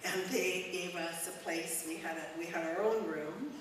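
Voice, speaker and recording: A woman speaks to a congregation in a loud, friendly, unhurried way, pausing on fillers. Her voice sits a little low. The recording is roomy and echoey, picked up from across a church hall with noise in the background. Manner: warm, conversational, with frequent ums. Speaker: guest speaker, charity executive director. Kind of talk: informal talk to a church congregation. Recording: echoey church hall, mic at a distance, noisy.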